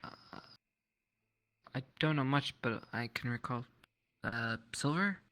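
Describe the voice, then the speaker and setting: A man's voice: medium pitch, expressive, takes it slowly, pushed and bright, dry recording, exaggerated voice. Man playing a hesitant, deferential townsman. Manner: polite, hesitant, throat-clearing, short replies. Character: deferential, hesitant male townsman in fantasy roleplay. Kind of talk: improvised in-character roleplay. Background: music.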